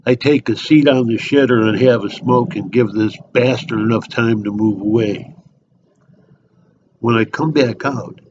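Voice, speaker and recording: A slightly muffled recording of a man performing a bitter loner at a bar. He delivers a first-person dramatic monologue in a mid-pitched voice, sullen, terse and weary. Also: slight background noise, lightly acted. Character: bitter, foul-mouthed loner drinking at a bar.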